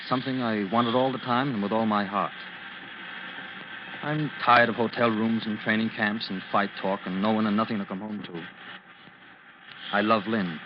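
Actor as a low-voiced, earnest young prizefighter. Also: male voice, close to an everyday voice. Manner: earnest, plainspoken. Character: weary young prizefighter wanting out, earnest, plainspoken. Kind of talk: old-time radio drama acting. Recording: noisy, dull vintage radio recording.

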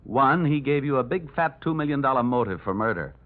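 A man's voice: mid-range voice, lively intonation, fairly quick, natural voice. A man playing a tough, wisecracking insurance investigator, narrating and talking in a punchy, sardonic old-time radio detective style. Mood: sardonic, firm. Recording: dull old radio recording, loud music.

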